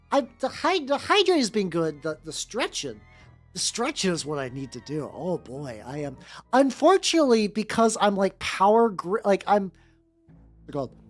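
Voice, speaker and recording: A woman streamer with a playful, joking manner, chatting casually and reading fanfiction aloud for comic effect. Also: mid-range voice. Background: background music.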